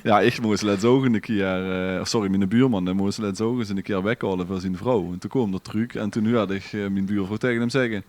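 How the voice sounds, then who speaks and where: A man's voice: lowish voice, fairly even intonation, brisk, few pauses, darkish tone. A man with a Limburgish Dutch accent hosting a casual podcast, chatting in a jokey way. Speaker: podcast host. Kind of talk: casual banter and chat.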